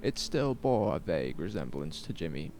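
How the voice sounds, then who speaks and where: A man narrating a horror story in a measured, dramatic, suspenseful way, in short phrases. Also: deep voice, very animated, a little mellow, a little hiss or hum.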